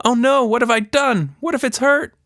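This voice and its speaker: A man's voice: very high-pitched, normal speed, a bit bright, no room sound, clean studio sound, voice pushed up. A man voicing a curious, kindly young girl in a children's story, earnest and questioning. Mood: worried.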